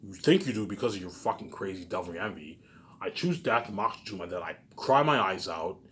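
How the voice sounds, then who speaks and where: A man's voice: low-pitched, expressive, a little fast, a bit bright. A man in an agitated, confrontational unscripted rant, repeating himself and swearing often. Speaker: online video maker.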